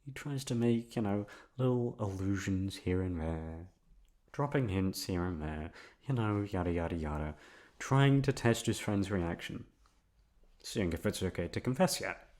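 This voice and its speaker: A man plays a young male best friend in an ASMR roleplay, hopelessly and obsessively in love. His voice sits fairly low. He talks intimately to the listener in a hesitant, nervous ramble, circling around what he really means. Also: lively intonation, a little slow, darkish tone. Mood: lovesick, intense.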